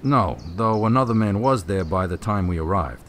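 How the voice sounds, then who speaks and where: A man playing a plain-spoken, methodical policeman in an audio drama, talking in a measured, matter-of-fact way. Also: lowish voice, lively intonation, fairly bright tone, a little hiss or hum. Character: plain-spoken policeman, uneasy but methodical, acting on a hunch.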